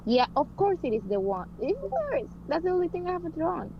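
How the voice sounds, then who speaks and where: A woman playing a tabletop game over voice chat, talking quickly and eagerly. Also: high-pitched, big pitch swings, vocal fry, muffled recording. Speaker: player. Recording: voice chat with background noise.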